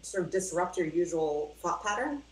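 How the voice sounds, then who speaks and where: A woman's voice: lowish voice, lively intonation, normal speed. A middle-aged woman speaking on a video call, explaining in a conversational coaching style. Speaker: guest giving mindfulness advice. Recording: roomy video call with faint hum.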